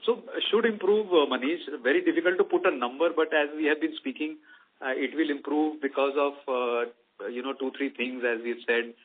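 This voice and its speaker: A man with an Indian accent explaining business results as an executive on an earnings call, in a halting, hesitant manner. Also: fairly high pitch, steady tone. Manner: hesitant, halting, with frequent "you know" and "let's say". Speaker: company executive answering analysts' questions. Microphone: telephone conference call. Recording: tinny narrow phone line.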